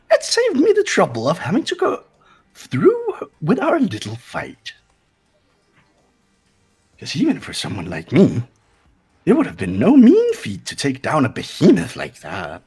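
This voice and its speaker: A man's voice: high-pitched, breathy edge, heavily acted. A man voicing a gleefully taunting villainous toy bear mascot in a fan-voiced game, mocking and theatrical. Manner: mocking, theatrical, sing-song.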